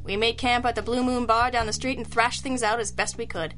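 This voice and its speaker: An actress playing a sharp, sardonic female private detective, brisk and needling, in old-time radio style. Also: on the high side, fairly even intonation, faint music behind, close to an everyday voice. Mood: wry. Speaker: detective and narrator.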